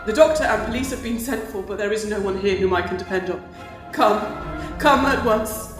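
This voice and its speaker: An actress playing an anxious, refined young Victorian gentlewoman in a gothic audio drama, with dramatic delivery. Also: mid-range voice, a little fast, music in the background, lightly acted. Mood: desperate. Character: distraught, well-bred young Victorian gentlewoman, devoted daughter.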